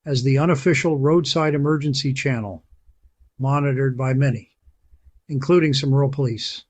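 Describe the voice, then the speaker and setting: A man reading a scripted voice-over as channel host, persuasive and emphatic, slowing down to stress key phrases. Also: medium pitch, no echo.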